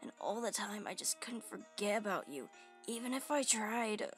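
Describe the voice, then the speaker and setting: A woman voicing an earnest, devoted young male cartoon cup, softly spoken, in a comic dub. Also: medium pitch, animated, normal speed, faint music behind, lightly acted. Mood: tender, hurt.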